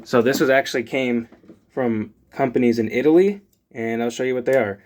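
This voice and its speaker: A man's voice: medium pitch, moderate pace, noisy background. A man, chatting casually as he unboxes items for his channel, rambling with lots of fillers. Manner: rambling, filler-heavy, relaxed. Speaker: channel host.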